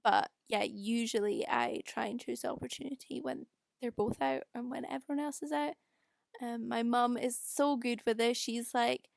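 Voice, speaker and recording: A woman's voice: on the high side, vocal fry, dry recording, clean studio sound. A young woman with a Scottish accent, rambling casually and self-deprecatingly in an unscripted voice-over. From Scotland. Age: young adult. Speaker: video creator doing a voice-over. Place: quiet room at home.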